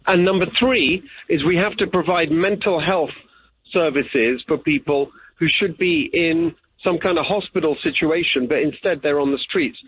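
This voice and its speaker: A man's voice: on the high side, normal speed, slightly rough. A man from England, answering interview questions earnestly and repeating his key points. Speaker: political candidate. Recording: tinny phone line.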